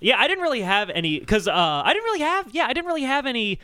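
Young American man, sarcastic podcast co-host. Bright delivery, very dry close recording. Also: extremely high pitch, very animated, quick pace, clear, resonant tone, no echo. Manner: sarcastic, joking. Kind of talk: casual comedic banter.

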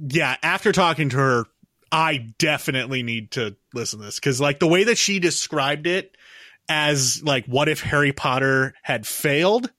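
A man's voice: on the high side, animated, moderate pace, bright, forceful tone, no echo, studio quality. A man co-hosting an entertainment show with informal, conversational delivery. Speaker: co-host of an entertainment news show.

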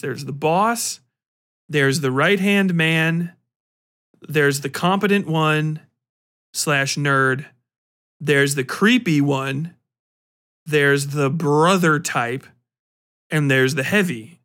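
Male podcast host, slightly high voice, loose and rambling delivery, animated, swears freely, slangy everyday talk. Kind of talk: casual rambling film commentary.

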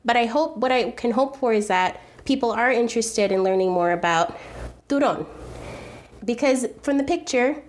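A woman's voice: a little high, moderate pace. Earnest, reflective American woman. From United States. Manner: earnest and thoughtful, frequent restarts and fillers. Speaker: food video creator, guest. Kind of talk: reflective interview conversation.

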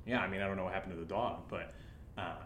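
A man acting in a horror audio drama as an excitable, sarcastic friend, with an animated, halting, rambling delivery. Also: low-pitched, slight background noise. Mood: scheming. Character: excitable, sarcastic, rattled male friend who drinks.

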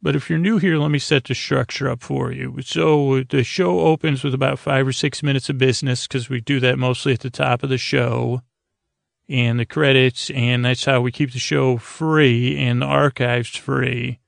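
Male bedtime podcast host, heavy vocal fry, dry recording, meandering self-deprecating delivery with halting, unfinished thoughts. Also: medium pitch, steady tone, no room sound. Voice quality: gravelly, creaky. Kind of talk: rambling bedtime podcast monologue, casual.

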